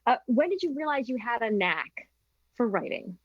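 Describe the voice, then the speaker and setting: Brief: woman host, mid pitch, dry muffled remote line, easygoing questions. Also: sing-song, moderate pace, dry recording. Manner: warm back-channel "mm-hmm", rambling questions. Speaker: radio host. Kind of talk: interview questions.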